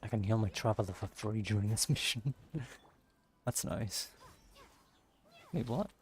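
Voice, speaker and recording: A man plays a game and comments casually in short, musing reactions. His voice is fairly low and creaks with vocal fry. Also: unhurried, a little hiss or hum. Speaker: gamer playing through a story quest.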